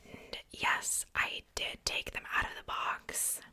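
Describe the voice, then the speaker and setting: A woman whispers close to the microphone in an ASMR style. Her voice is unvoiced and breathy, with a very bright, sibilant edge. She talks softly and without hurry, as in a casual, chatty show-and-tell. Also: slow pace. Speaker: ASMR video creator. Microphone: close sensitive microphone.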